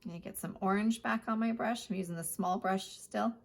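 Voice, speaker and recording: A woman art teacher giving a chatty step-by-step painting lesson, her voice hoarse. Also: medium pitch, fairly even intonation, brisk. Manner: chatty, plain instructional talk.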